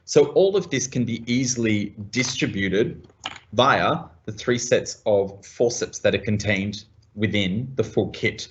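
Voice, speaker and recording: An Australian man, a medical practitioner, giving a measured product demonstration to colleagues. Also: lowish voice, dull audio.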